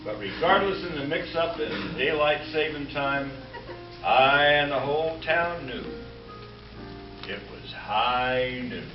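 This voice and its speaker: A man playing a wry comedian who narrates a mock western as its hero, deadpan with dramatic pauses, in an old-time radio comedy staged live. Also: mid-range voice, over loud music. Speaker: amateur radio-show player. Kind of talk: 1950s radio comedy re-creation, narrated monologue. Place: live stage show before an audience. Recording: echoey, noisy hall from far off, muffled.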